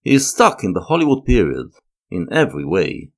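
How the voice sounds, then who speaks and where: A man narrating a scripted analytical video essay in a measured, lecturing manner, leaning on key words. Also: deep voice, huge pitch swings.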